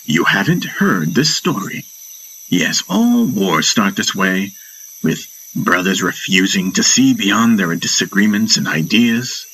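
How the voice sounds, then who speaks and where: Man voicing a slow, deliberate wise old-lore master. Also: lowish voice, big pitch swings, fairly bright tone, slight background noise, heavily acted, low-quality recording. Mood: solemn storytelling. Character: wise, playful male spiritual master telling ancient lore. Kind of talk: fantasy audiobook character acting.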